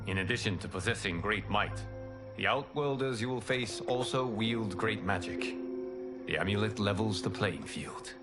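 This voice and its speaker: A man playing a solemn, noble lord who presides over a martial tournament in a game, speaking formally and with measured command. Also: lowish voice, natural voice. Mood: solemn, authoritative. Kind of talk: video game cutscene acting. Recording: loud cinematic music behind.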